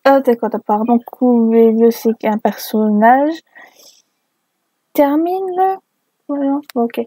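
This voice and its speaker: A woman reads aloud in a high, husky voice and keeps interrupting herself with exasperated commentary. Her delivery is sarcastic and unhurried, with a soft, mellow edge. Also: dry recording. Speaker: video host reading fan fiction aloud. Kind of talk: mocking read-aloud with spoken asides.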